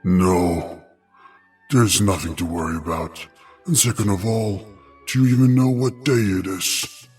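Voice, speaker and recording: A very low-voiced, haughty old ice king played by a man, stately and scholarly, quick to scold. He sounds set back from the mic, with music underneath, in a fantasy audio drama. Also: darkish tone, slightly rough, recorded from a distance. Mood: condescending. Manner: stately, condescending. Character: haughty, scholarly old frost king, dismissive and short-tempered.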